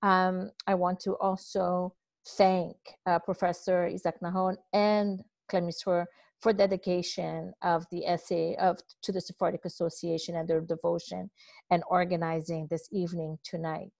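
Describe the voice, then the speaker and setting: Low-voiced woman hosting an online panel. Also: little pitch movement, gravelly, darkish tone, no echo. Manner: halting, with "um" and "uh". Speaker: event host. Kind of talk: formal welcome and thanks. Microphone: video call.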